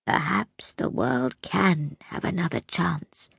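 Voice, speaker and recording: A woman voicing a frail, sorrowful old great-grandmother in an audiobook story, speaking softly, hesitantly and wistfully. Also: deep voice, slow pace, gravelly, slightly rough, dry recording, exaggerated voice. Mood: gentle, hushed. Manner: hesitant, soft-spoken, wistful.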